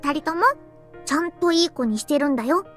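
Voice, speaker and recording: A woman's voice: high-pitched, very fast, soft background music, close to an everyday voice. A woman playing a cheerful, childlike, eager younger sister in a Japanese visual novel. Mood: bossy, playful.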